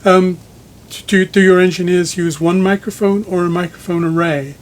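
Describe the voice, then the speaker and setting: Higher-pitched man interviewing, measured. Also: fairly even intonation, slight background noise. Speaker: magazine editor interviewing a speaker designer. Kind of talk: conversational technical interview. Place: listening room in a home.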